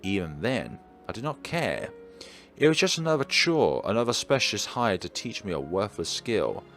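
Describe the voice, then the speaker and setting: A man voicing a guarded young female singer who speaks in a formal, measured way. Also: fairly low pitch, very animated, a bit bright, soft background music. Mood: reserved.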